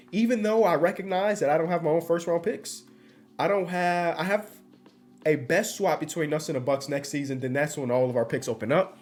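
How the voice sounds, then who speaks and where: Stuffy-nosed dude talking through a basketball game, kinda high voice, loose and slangy, music in back. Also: male voice. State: congested. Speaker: gaming YouTuber. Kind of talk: casual game commentary.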